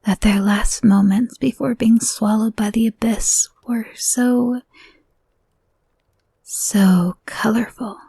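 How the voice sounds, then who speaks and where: A woman voicing an obsessive, murderous young woman who holds the listener captive, speaking softly and often in a whisper, swinging between menacing calm and frantic apology. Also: a little high, slow pace, vocal fry, breathy edge, no room sound, heavily acted. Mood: sinister, teasing. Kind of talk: audio roleplay to a listener.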